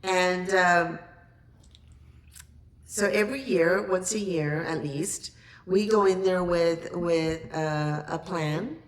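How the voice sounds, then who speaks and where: A woman's voice: on the low side, slow. A woman guest on a podcast casually telling an anecdote, voicing quoted exchanges in a conversational way.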